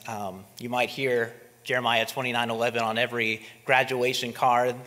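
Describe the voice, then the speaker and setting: A man's voice: mid-range voice, a bit bright. A man in his thirties, a pastor, preaching a sermon from a pulpit in a conversational way, asking his listeners rhetorical questions. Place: church sanctuary, at a wooden pulpit. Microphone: pulpit microphone. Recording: long reverb of a church hall.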